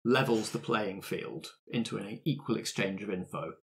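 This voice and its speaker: A man's voice: fairly low pitch, moderate pace, a bit bright. A man casually moderating and narrating a tabletop role-playing game as game master.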